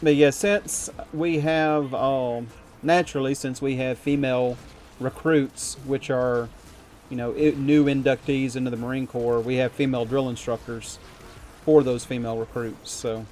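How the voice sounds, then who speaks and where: A man's voice: medium pitch, steady tone, moderate pace, darkish tone, slight background noise. A man from the United States chatting with his viewers while gaming, rambling and chuckling and poking fun at himself. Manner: rambling, chuckling, self-deprecating. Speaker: streamer.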